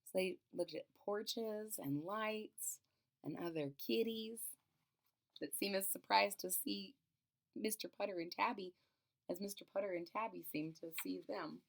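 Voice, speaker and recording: A woman's voice: medium pitch, unhurried, creaky. A woman with a mid-range voice reads a children's picture book aloud. She draws out phrases expressively for emphasis. Now and then she steps out of the text to explain things to her listeners as host. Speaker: storybook reader and channel host.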